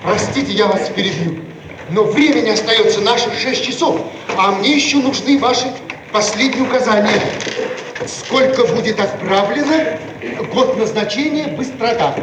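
A modest, earnest inventor in a Soviet radio play. His very high male voice is polite and pressing. It sits far from the microphone in a noisy, echoing archival recording. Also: moderate pace. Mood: urgent.

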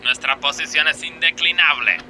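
A man's voice: on the high side, normal speed, bright, forceful tone, a little hiss or hum. A man with an Argentine accent playing an agreeable, literal-minded factory worker in a comedy radio sketch. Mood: plotting.

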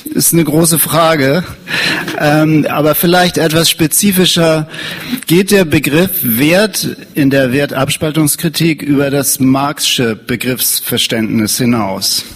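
A man from Hamburg moderating a panel discussion in front of an audience, talking haltingly and filling pauses with many ums. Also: on the high side, slightly rough. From Hamburg, Germany. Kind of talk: hesitant live moderation. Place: meeting room with an audience. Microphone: handheld microphone passed around.

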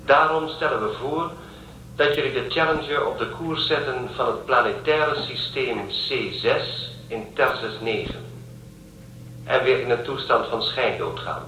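A man playing a cold, authoritarian ship intelligence in a radio drama, measured and detached in delivery. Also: mid-range voice, sharp tone, slight background noise. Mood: stern, official. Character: controlling male ship intelligence called an angel, cold and authoritarian. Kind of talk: Dutch radio drama acting. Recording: echoey, distant, music underneath.

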